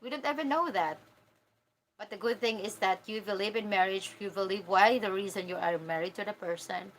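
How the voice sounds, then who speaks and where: Filipina accent woman, 30s, casual candid livestream chat, mid pitched voice. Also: fairly quick, slight background noise, poor audio. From Philippines. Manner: candid, informal, talking directly to viewers. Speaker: vlogger answering live chat comments.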